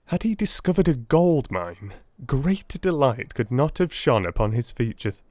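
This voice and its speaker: A man narrating a classic story in the first person, with theatrical, measured, literary storytelling. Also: medium pitch, big pitch swings, a little mellow, dry recording. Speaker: audiobook narrator.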